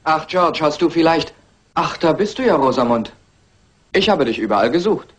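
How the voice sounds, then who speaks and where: An actor playing an evasive, exasperated husband in a crime radio play, with a defensive manner. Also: male voice, on the high side, very animated, normal speed, a bit bright. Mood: mildly impatient.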